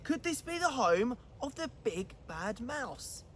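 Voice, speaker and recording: A woman's voice: on the high side, sing-song, slow pace, slight background noise. A woman reading a children's bedtime story aloud with dramatic, rhythmic expression.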